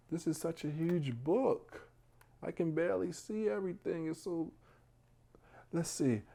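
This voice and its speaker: Slow, gently hushed man reading bedtime stories. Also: a little high. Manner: addresses young listeners directly with questions and praise. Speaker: children's read-aloud host. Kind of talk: bedtime story read-aloud for young children.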